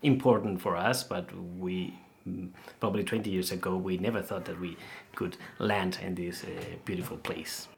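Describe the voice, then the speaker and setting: A man from Venezuela talks casually as a guest in a very low, gravelly voice. He is animated and repeats words as he looks for the right phrasing. Faint background noise sits under him. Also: normal speed. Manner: animated, repeats words while searching, laughs easily. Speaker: interview guest, geologist. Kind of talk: casual conversation.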